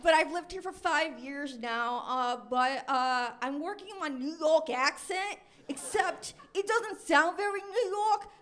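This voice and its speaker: A high-pitched American woman in her twenties performs stand-up on a club stage. She tells stories in a chatty, self-deprecating way, as a comedian addressing a live crowd. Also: measured pace, hardly any pauses, a bit bright. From Los Angeles, United States. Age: 20s. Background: audience laughter.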